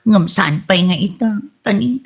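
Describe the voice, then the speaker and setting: A woman's voice: medium pitch, expressive, normal speed, husky. A woman playing a clingy, grieving gold-digger girlfriend with a whiny, lamenting delivery in a comedy radio drama. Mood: glum, reluctant.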